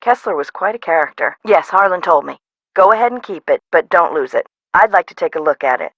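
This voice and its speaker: No-nonsense park boss lady, lowish voice, dry close sound, video game. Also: animated, brisk, fairly bright tone, no room sound, lo-fi sound. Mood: businesslike. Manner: blunt, matter-of-fact. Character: businesslike female amusement park boss, blunt and exacting.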